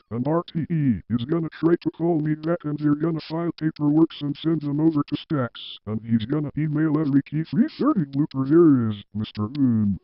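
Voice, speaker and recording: A man's voice: on the high side, dry recording, lightly acted. A man playing a stubborn, argumentative office employee in an amateur drama, emphatic and repetitive. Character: defiant, stubborn male office employee who argues back. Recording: dull, dry lo-fi audio.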